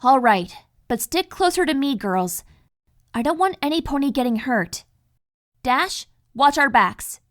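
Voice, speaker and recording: A woman's voice: high-pitched, expressive, a little slow. A woman voicing a thoughtful, protective adult unicorn mare in a cartoon-style audio drama. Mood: protective, firm. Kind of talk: animated fan fiction audio drama acting.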